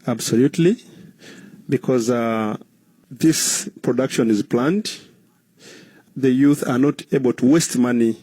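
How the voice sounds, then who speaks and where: Mellow-voiced Ugandan man explaining. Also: medium pitch, a little slow, pauses often, breathy edge, dry recording. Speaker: guest, cooperative trainer. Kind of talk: interview answers, explanatory.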